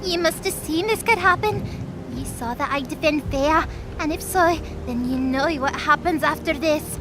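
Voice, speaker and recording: Woman voicing a very high, earnest winged girl. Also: normal speed, background noise, lightly acted. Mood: defiant, pleading. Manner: impassioned, naive, argumentative. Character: earnest, stubborn winged young girl defending her friend. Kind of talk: audio drama character acting.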